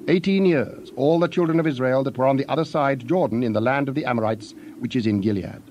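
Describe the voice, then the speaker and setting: A man narrating scripture in a solemn, measured storytelling style. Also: medium pitch, darkish tone, slight background noise.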